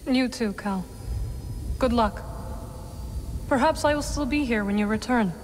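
A woman playing a composed, dry-witted young warrior in a video game, speaking in terse, measured, formal phrases. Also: on the high side, big-room sound, a little hiss or hum, lightly acted. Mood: reserved, fond. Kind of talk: cinematic video game acting.

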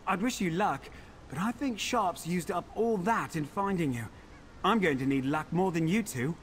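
A man's voice: high voice, expressive, moderate pace, a bit bright, slight background noise. An actor voicing a pampered, indignant male elf in a fantasy game, complaining in a prissy, theatrical way. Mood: sardonic.

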